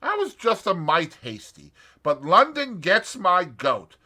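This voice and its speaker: A man voicing a brash young American man of about thirty-five with an American accent, loud, blunt and impatient. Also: high voice, wildly swooping pitch, slow, pitched above the performer's own voice, heavily acted. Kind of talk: audiobook character voice.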